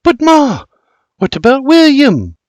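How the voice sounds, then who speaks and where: A man voicing a gleeful young farm girl, with a high, excited, girlish way of talking. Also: slow pace, dry recording, full character voice.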